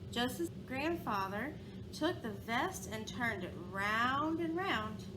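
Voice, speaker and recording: A woman teacher reading a storybook aloud in a sing-song way, pausing to ask children questions. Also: on the high side, animated, noisy background.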